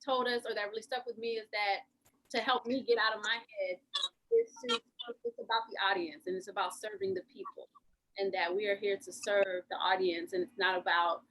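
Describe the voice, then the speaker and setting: A woman's voice: mid-range voice, expressive, a little fast, a bit bright, low-quality recording. A woman actor talking informally and reflectively in a question and answer session on a video call. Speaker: actor in an acting class.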